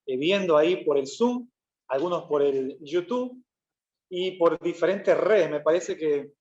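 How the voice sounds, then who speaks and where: A pastor gives a loose, conversational sermon, stopping to correct himself and filling gaps with "eh". His voice sits a little high for a man. It is caught in a roomy church with a slightly dull, muffled sound. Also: measured pace. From Peru. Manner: relaxed, chatty, frequent "eh" fillers and self-corrections. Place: church hall.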